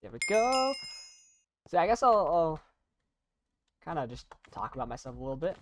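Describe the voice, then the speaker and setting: A young adult gamer talks casually over gameplay at a medium pitch. The delivery rambles and halts, with self-deprecating asides, and there is noise behind the voice. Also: big pitch swings, normal speed. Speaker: YouTuber playing and commentating on a game. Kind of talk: casual gaming commentary and chat.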